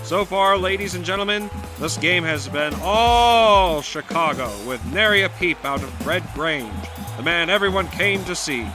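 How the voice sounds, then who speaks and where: An actor playing an excited 1920s football play-by-play announcer, calling the game in shouts. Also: male voice, sits high, unhurried, few pauses. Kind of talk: old-time sports commentary. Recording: music behind the voice.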